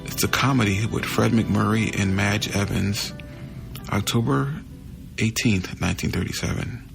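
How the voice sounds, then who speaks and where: Plain podcast host guy, lowish creaky voice, music playing behind him. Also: normal speed. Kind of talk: scripted podcast introduction.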